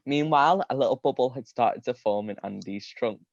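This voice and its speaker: A man's voice: medium pitch, fairly quick, creaky, a little mellow, dry recording, lo-fi sound. A man giving a live comedic reading of a story, amused, often breaking into laughter and tripping over his words. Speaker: reader of comic fan fiction.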